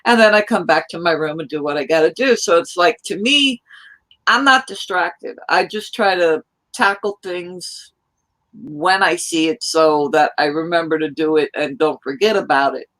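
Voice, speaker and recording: A woman from New York chatting casually on a live stream, rambling in a loud, hyper way. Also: lowish voice, moderate pace, rough recording. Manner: rambling, hyper, self-described loud talker.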